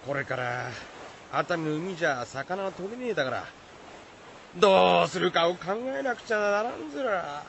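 Rough old-time fisherman in a radio drama, high voice, big swings, izu country dialect. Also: lively intonation, normal speed, fairly bright tone, heavily acted. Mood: scolding. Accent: rural Shizuoka, Izu dialect. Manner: rough, exclamatory rustic speech. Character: excitable, blunt fisherman of old Atami. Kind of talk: radio drama acting of a folktale. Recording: faint background noise.

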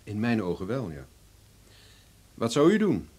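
Animated, probing male investigator. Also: fairly low pitch, breathy edge, exaggerated voice. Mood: wry, probing. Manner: probing, deliberate, insistent questioning. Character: probing, worldly male investigator, blunt and insistent. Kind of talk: Dutch radio drama acting.

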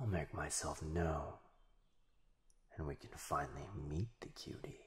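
Casting: male actor as a teasing, possessive shadow being, deep husky whisper, unhurried, for ASMR roleplay. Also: heavily acted. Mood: gloating menace. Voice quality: breathy, whispery. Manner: drawn-out, menacingly sweet delivery. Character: possessive, teasing living shadow of a sorcerer boyfriend.

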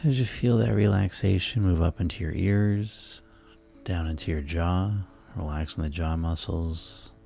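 A man speaking as a hypnotist, guiding listeners into sleep in a soothing, unhurried, repetitive way over music. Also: low voice, steady tone, creaky, warm tone. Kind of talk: guided sleep hypnosis narration. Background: relaxing background music.

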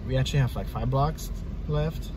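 A man's voice: mid-range voice, moderate pace, far from the mic. A young man in the passenger seat giving driving instructions in a teasing, bossy way. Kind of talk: casual chat. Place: inside a car in traffic. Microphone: phone on the dashboard. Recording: loud road noise in a car.

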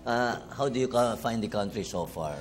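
Ein Mann als Co-Moderator eines Interviews, der kurze Nachfragen stellt. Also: male voice, medium pitch, normal speed, slight background noise. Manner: short follow-up questions.

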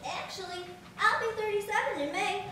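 Woman as a calm, reassuring 1940s G-man, very high voice, echoey room from far. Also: normal speed, crowd noise. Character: undercover male Secret Service agent, mid-thirties, calm. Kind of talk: live 1940s-style radio play acting. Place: large historic mansion room with a live audience.

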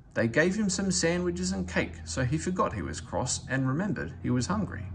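Expressive man reading a children's story. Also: fairly high pitch, vocal fry, slight background noise. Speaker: storybook reader. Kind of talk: children's story read aloud, scripted narration.

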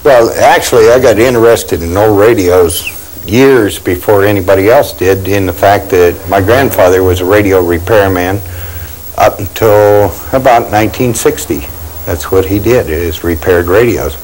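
A man's voice: on the low side, normal speed, a little mellow, slight background noise, low-quality recording. A middle-aged man, a repair shop owner, talking casually in his small shop. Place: small radio shop indoors.

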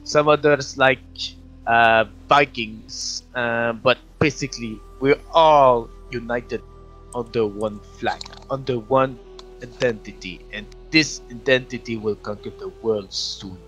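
Man putting on a bright, boastful cartoon warrior creature. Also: mid-range voice, slow pace, faint music behind, low-quality recording. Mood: grandiose. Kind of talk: improvised role-play interview in a virtual-reality game.

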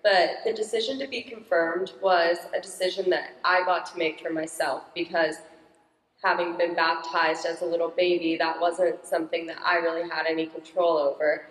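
A teenage girl with a naturally loud, upbeat voice speaks into a handheld microphone. Her slightly low voice rings around a large church sanctuary with long reverb. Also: level tone, moderate pace. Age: teens. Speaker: young parishioner guest. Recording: echoey church hall.

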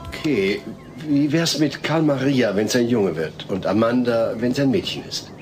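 A man's voice: medium pitch, unhurried, a little mellow, soft background music, natural voice. An actor playing an exasperated, earnest suburban father-to-be in a sitcom audio play, a little fussy. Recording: noisy old cassette audio.

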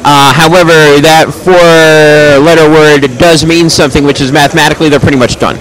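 A man giving live play-by-play commentary on a bowling match in a bowling alley. He has a loud, projecting voice and makes chatty, joking asides between calls. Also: fairly high pitch, steady tone, moderate pace, low-quality recording.

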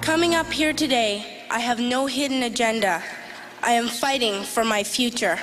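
A young girl from Canada gives an earnest speech in a fairly high voice. She speaks slowly and deliberately. There is faint noise in the archive recording. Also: fairly bright tone. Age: 12 years old. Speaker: young environmental activist giving a speech.

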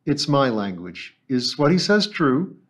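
A male audiobook narrator voicing a gruff, blunt middle-aged ship captain. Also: medium pitch, big pitch swings, measured pace, pitched above the performer's own voice. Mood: brusque.

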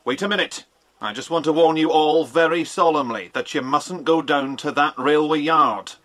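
A man's voice: a little high, moderate pace, fairly bright tone, lightly acted. An actor with a British accent playing a stern, shifty stepfather who gives insistent, ominous warnings in a children's audio drama.

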